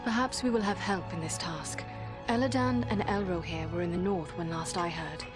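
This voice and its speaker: A woman playing a solemn, determined warrior companion in a fantasy game, speaking earnestly and deliberately. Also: fairly low pitch, lightly acted. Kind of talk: dramatic fantasy game cutscene acting. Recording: loud music over the dialogue.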